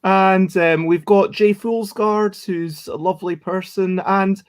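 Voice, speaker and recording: Man, high voice, livestream host, casual interviewing, rambling, self-correcting, encouraging. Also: fairly even intonation, takes it slowly, clear, resonant tone. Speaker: host of a daily livestream chat show.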